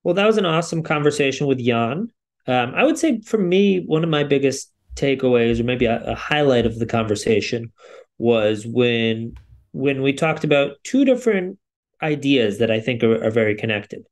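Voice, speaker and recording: A man's voice: mid-range voice, animated, moderate pace. A man co-hosting a podcast, giving a thoughtful solo recap in loosely strung sentences. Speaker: podcast co-host.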